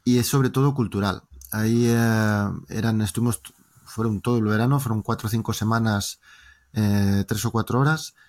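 A man's voice: on the low side, moderate pace. Spanish man telling stories. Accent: Spanish from Spain. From Spain. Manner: lively, joking storyteller, talking in long winding turns. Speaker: interview guest. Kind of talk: casual interview conversation.

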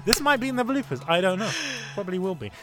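A man's voice: high voice, sing-song, quick pace. A young man from Britain hosting a casual podcast, rambling and joking with laughs as he talks. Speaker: podcast host.

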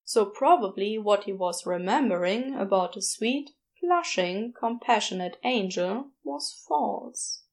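A woman reading fiction as an audiobook narrator, expressive, with wry, deliberate emphasis. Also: medium pitch.

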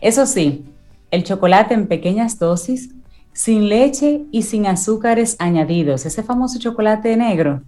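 A woman's voice: mid-range voice, expressive, unhurried, warm tone, faint music behind. Woman co-hosting a chatty radio show. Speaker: radio co-host.

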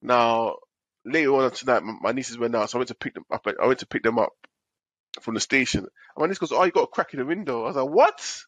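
A man's voice: medium pitch, fast talker, fairly bright tone, dry recording, rough recording. Nasal man telling a story. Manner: rambling storyteller, repeats himself. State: nasal from a cold. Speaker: podcast co-host. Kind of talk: casual chat.

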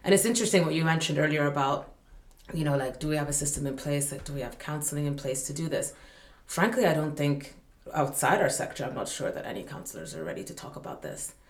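A woman's voice: low-pitched, expressive, fast. Earnest woman from Malawi, echoey room. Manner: earnest, thoughtful, with frequent fillers and restarts. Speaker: guest, UN humanitarian staff representative. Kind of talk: podcast conversation.

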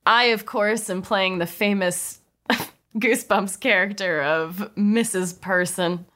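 A woman's voice: mid-range voice, slow pace, a bit bright. A woman co-hosting a comedy table read, bantering casually with playful exasperation. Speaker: podcast co-host and narrator of a table read.

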